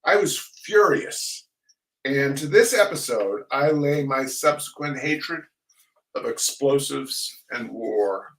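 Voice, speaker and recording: An older man hosting a reading of humorous essays, chatting casually and reading aloud in a wry, theatrical, halting manner. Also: medium pitch, expressive, a bit bright. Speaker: host of a weekly humor reading. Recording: roomy echo, distant microphone.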